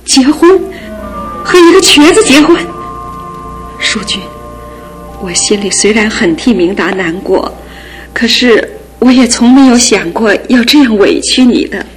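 A woman's voice: lively intonation, unhurried, pauses often. An actress playing a grieving older mother, tired and sighing, in a radio drama with music behind. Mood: doubtful. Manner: tired, sighing and low-voiced.

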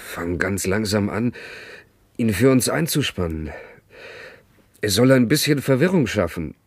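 An actor playing a calm, scheming conspirator in a radio drama, speaking in a controlled, persuasive way. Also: male voice, on the low side, measured pace, husky, no room sound, full character voice. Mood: quietly scheming. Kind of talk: German radio drama dialogue.

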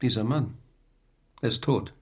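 An actor playing a sober, learned doctor with a measured, clinical manner in a historical radio crime drama. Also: male voice, on the low side, breathy edge, exaggerated voice. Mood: grave, measured. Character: sober, learned male doctor in old Paris.

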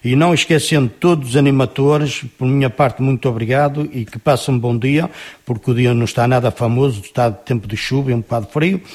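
A man hosting a local radio show, reading listeners' song dedications in an unhurried way and stumbling a little over names. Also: mid-range voice. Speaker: radio host.

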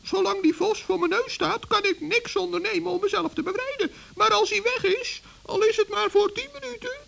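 A performer voicing a small, plucky, crafty forest gnome with a squeaky, creaky voice in a children's radio play. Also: brisk, pushed and bright, full character voice.

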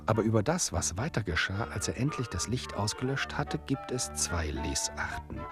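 An actor playing a teasing, argumentative storyteller who addresses his listener, with a husky voice, in a radio play. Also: on the low side, big pitch swings, normal speed, music in the background. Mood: wry, narrating. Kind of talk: scripted radio play narration.

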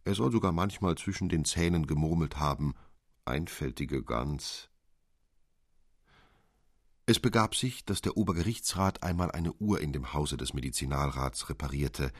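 Male narrator, very low husky voice, dry studio sound, expressive literary storytelling. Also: no room sound. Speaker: narrator reading a literary fairy tale.

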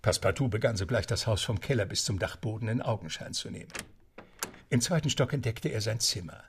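An actor playing a genteel, courteous English club butler who narrates a radio drama in a discursive, theatrical way. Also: male voice, on the low side, fairly quick, gravelly, slight background noise. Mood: storytelling. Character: genteel English gentlemen's club butler recounting a story, male.

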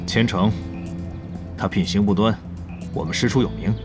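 A man performs a cool guild president in a radio drama. His voice is on the low side, stern and measured, with music playing behind. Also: lightly acted. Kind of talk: Chinese radio drama acting. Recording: background music under the voice.

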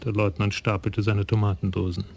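Flat, gravelly male narrator. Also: on the low side, slight background noise. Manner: measured, even storytelling. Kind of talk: scripted narration of a radio crime drama.

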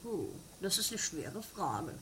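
A woman playing a suspicious, bumbling police inspector in an amateur comic radio play, melodramatic and hesitant, then suddenly loud. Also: on the low side, sing-song, slow, a little hiss or hum, full character voice. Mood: pondering.

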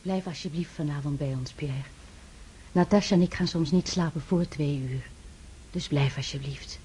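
A woman playing a gentle devout noblewoman. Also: low-pitched, moderate pace, a little hiss or hum, natural voice. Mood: pleading. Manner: gentle, pleading, kindly. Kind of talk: radio play acting.

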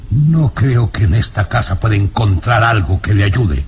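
An actor playing an irascible old baron in a vintage radio serial, speaking formally and emphatically with indignant menace. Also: male voice, fairly low pitch, lively intonation, normal speed, a little hiss or hum, lightly acted. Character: irascible, imperious old baron, nervous castle lord. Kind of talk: vintage Spanish-language radio serial acting.

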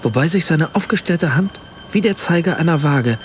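Actor as a reflective male narrator, lively intonation. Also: fairly high pitch, normal speed, slight background noise, lightly acted. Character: reflective male first-person narrator, watchful and observant. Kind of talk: literary first-person radio play narration.

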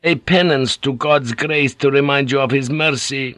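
A man's voice: mid-range voice, steady tone, no room sound, studio quality, voice pushed up, natural voice. A man voicing a sly, coaxing Jesuit priest. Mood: reproving. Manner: soft, coaxing, drawn-out. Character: sly, smooth-talking middle-aged male Jesuit priest.

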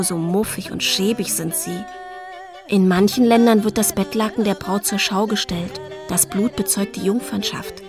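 A brooding royal confidante, played by a woman narrates intimately in a radio drama. Her mid-pitched voice is reflective, with music clearly playing underneath. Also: close to an everyday voice. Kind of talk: radio play monologue.

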